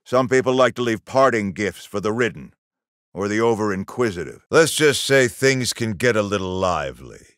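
A man's voice: lowish voice, animated, normal speed, a bit bright, no echo, studio quality. A man voicing a stern middle-aged army general in a video game, giving orders and briefings in an authoritative, clipped military manner. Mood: grave, firm.

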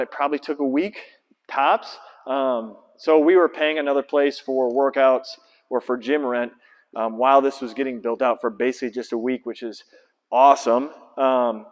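Guy in his 30s giving a chatty gym tour, lots of ums, lapel mic, long gym echo. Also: mid-range voice. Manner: casual, filler-heavy, explaining and pointing things out. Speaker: basketball trainer. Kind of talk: casual walkthrough vlog. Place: large empty indoor basketball gym. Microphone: clip-on lapel mic. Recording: long reverb of a big empty gym.

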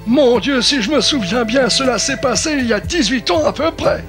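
A fussy old cartoon professor, with a very high, swooping voice. He is polite, easily flustered and exclamatory, and music plays behind him. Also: expressive, normal speed. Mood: absent-minded, musing. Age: older. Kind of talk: French cartoon dub.

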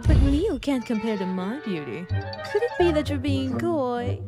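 Woman playing a vain demon beauty, flirty, sultry, high, lilting, loud music behind. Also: animated, moderate pace. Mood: teasing. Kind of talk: anime-style cartoon fandub acting.

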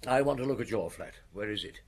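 An actor playing a dry, sceptical middle-aged police detective in a radio drama, questioning brusquely with a husky voice. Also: male voice, fairly low pitch, lively intonation, fast talker. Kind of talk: radio drama acting and first-person narration.

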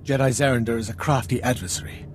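A man's voice: medium pitch, fairly even intonation, quick pace, a little hiss or hum, lightly acted. An actor playing a deferential, earnest military commander in a video game, speaking formally and apologetically as he explains. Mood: apologetic, earnest.

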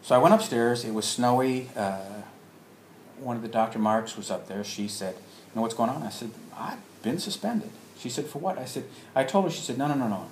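A man telling a personal story in a halting way, acting out remembered exchanges as he goes. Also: mid-range voice, animated. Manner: halting, acts out remembered dialogue. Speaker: storyteller, alternative health practitioner. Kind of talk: personal anecdote told to listeners. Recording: roomy echo with background noise.

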